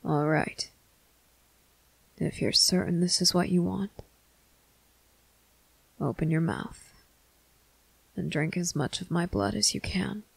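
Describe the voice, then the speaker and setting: Performer as male vampire military officer, stoic, commanding, unhurried delivery, gravelly edge, ASMR roleplay. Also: mid-range voice, dry recording, full character voice, low-quality recording. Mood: grave, firm. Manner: slow, deliberate, stern, commanding, hushed at times. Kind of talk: ASMR roleplay addressed to the listener.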